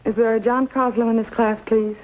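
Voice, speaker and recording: A muffled old TV soundtrack carries an actress playing a woman schoolteacher. She is formal and courteous, addressing pupils in measured sentences in the manner of fifties drama. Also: fairly high pitch, flat delivery, close to an everyday voice. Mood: formal, patient. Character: polite, formal schoolteacher addressing her class. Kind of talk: 1950s American television drama acting. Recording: dull lo-fi 1950s television soundtrack.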